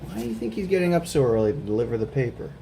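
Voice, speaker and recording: A man's voice: medium pitch, very animated, vocal fry, slight background noise. A man reading a children's picture book aloud as a guest reader, stopping to ask the young listeners questions. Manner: slow, gentle storybook reading with questions to kids.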